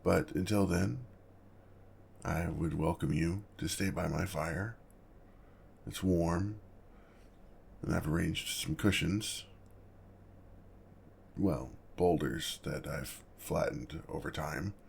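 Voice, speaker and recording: A man playing an awkward, apologetic, gentle male dragon in an ASMR roleplay, with a gravelly, husky voice and a halting, flustered manner. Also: low voice, slight background noise, exaggerated voice. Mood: gentle, welcoming. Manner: halting, flustered, self-correcting, measured.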